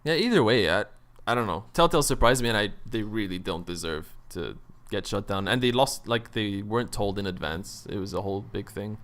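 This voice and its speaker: Low-voiced man chatting casually. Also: lively intonation, a little fast, hardly any pauses, fairly bright tone. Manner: informal, slangy. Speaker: podcast host. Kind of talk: casual chat about video games.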